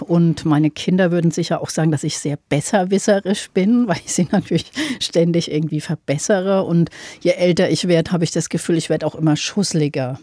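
Woman in her 50s, mellow dark voice, dry studio, owns up to her faults. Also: fairly low pitch, no room sound. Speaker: journalist and author guest. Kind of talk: casual discussion.

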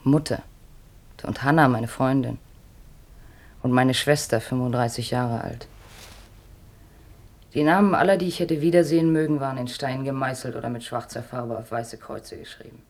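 An actress playing a wistful, pleading middle-aged fugitive mother in a German radio play, speaking dreamily and deliberately in short phrases. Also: rumbling low, steady tone, a little mellow. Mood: bitter, mournful.

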